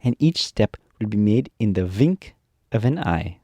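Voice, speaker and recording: A gracious good witch who gives commands, played by a man who raises his voice a little and lends it a stately slowness. The warm, dark tone is recorded close and dry. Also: lowish voice, big pitch swings, dry recording, exaggerated voice. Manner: stately, slightly raised and slow.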